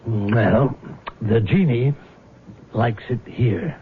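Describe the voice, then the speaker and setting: An actor playing a middle-aged, self-deprecating rich husband in a radio drama, hesitant, courteous and cryptic, with a husky voice. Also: male voice, medium pitch, drawn-out words, a little hiss or hum. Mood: cryptic, brooding. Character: troubled wealthy betrayed husband, secretive and self-deprecating godfather. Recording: muffled old radio drama recording.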